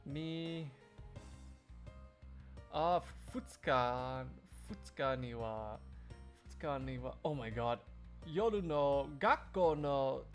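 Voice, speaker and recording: A man's voice: medium pitch, lively intonation, slow pace, pauses often, faint music behind. A man chatting casually as he hosts a one-man live fandub stream. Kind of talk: casual livestream chat.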